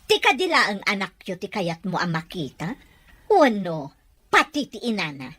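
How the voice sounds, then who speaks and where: A woman's voice: mid-range voice, very animated, moderate pace, pushed and bright, husky, exaggerated voice. A woman playing a jealous, quarrelsome partner in a drama. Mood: jealous, angry. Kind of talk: acted drama dialogue.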